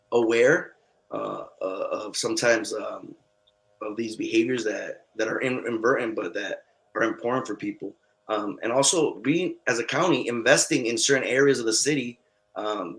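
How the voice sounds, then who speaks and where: A man of middle pitch whose first language is Spanish answers a forum question over a video call. He speaks earnestly and haltingly, pausing on many fillers. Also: fairly bright tone, low-quality recording. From United States. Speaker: political candidate.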